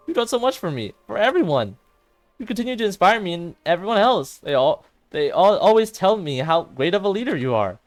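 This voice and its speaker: A man's voice: sits high, voice pushed up. A man voicing a frantic, loyal teenage girl who pleads emphatically in a dramatic visual novel performance.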